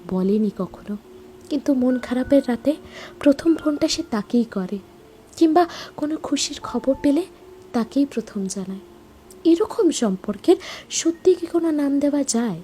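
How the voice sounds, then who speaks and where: A woman giving a reflective, sentimental scripted introduction to a story, with dramatic pauses. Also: fairly high pitch, warm tone, a little hiss or hum. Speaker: introducer, narrator.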